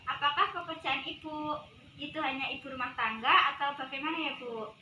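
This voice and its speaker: A woman's voice: high-pitched, moderate pace, bright, forceful tone, highs cut off. A young woman, speaking politely and formally as a nutritionist in a rehearsed counselling dialogue. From Indonesia. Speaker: nutritionist in a counselling role-play. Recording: echoey room, distant mic, clear background noise.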